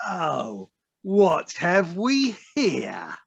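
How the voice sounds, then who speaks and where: A woman's voice: lowish voice, wildly swooping pitch, gravelly, breathy edge, exaggerated voice. A woman playing a sly, falsely sweet weasel villain with an oily manner in an amateur audio play, recorded on a dull video call. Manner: oily, drawn-out insincerity.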